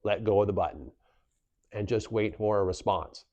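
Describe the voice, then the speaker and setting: A man's voice: on the low side, normal speed, darkish tone, dry recording. A man presenting an instructional how-to, explaining plainly step by step and repeating key points for emphasis. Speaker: instructional video presenter for a community association.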